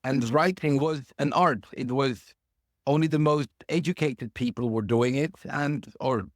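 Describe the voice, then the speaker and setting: A man speaks as a podcast guest over a remote call, thoughtful and a little halting, stopping often to rephrase. His voice sits at an ordinary male pitch, and the sound is dry with no room echo. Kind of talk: casual interview chat.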